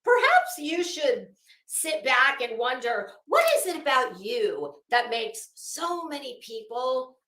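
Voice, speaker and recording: Slow-talking woman in an echoey room. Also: high-pitched, big pitch swings, bright, forceful tone. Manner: sardonic, theatrical, emphatic. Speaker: livestream host and commentator. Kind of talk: casual commentary with dramatic reading of a legal document.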